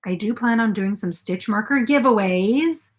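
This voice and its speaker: A woman's voice: mid-range voice, normal speed. A woman hosting a crochet live stream from her bedroom, chatting casually and rambling with fillers into a camera on a tripod. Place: bedroom at home.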